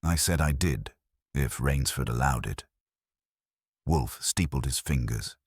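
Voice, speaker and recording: Very deep-voiced man narrating a detective story. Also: expressive, a little slow, husky, no echo, studio quality. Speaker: audiobook narrator. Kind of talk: scripted first-person detective story narration.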